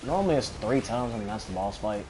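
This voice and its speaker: A man's voice: fairly low pitch, animated, quick pace, poor audio. A young man giving offhand, chatty, profane commentary on a video game playthrough, with game music behind him. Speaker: gaming video host.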